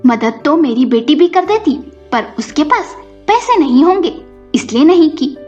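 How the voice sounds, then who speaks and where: An older mother-in-law in a storybook drama, acted by a woman narrator, defensive and scolding. Her voice rides high, on a dull recording with music behind. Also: expressive, unhurried, darkish tone. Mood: indignant. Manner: indignant, scolding retorts.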